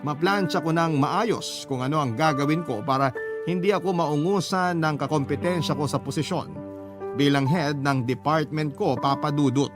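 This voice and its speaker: Filipino guy reading a love story letter, kinda high voice, steady, music playing under. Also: normal speed. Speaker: letter narrator. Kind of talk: scripted first-person narration. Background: clear music underneath.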